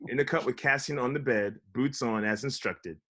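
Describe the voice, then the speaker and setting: A man's voice: mid-range voice, normal speed, fairly bright tone. A man with a mid-range voice acts as narrator of a table read. He reads screen directions and also chats casually with the group. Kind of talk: reading screen directions, then casual chat.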